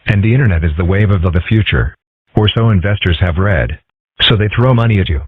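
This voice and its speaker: A man voicing a calm, philosophical young male fox in a comic dub, husky, measured and deadpan. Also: deep voice, dry recording. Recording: muffled narrow-band lo-fi audio.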